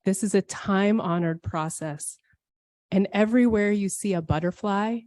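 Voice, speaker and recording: A woman delivering a scripted, reflective church sermon in measured, poetic phrases with deliberate phrasing. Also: medium pitch, a little mellow, no room sound, studio quality. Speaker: speaker at a church service.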